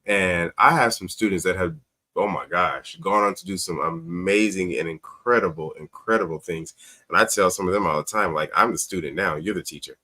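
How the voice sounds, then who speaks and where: A man's voice: sits low, normal speed, bright, forceful tone. A man talking as a show guest, giving earnest, conversational advice with emphatic repeated phrases.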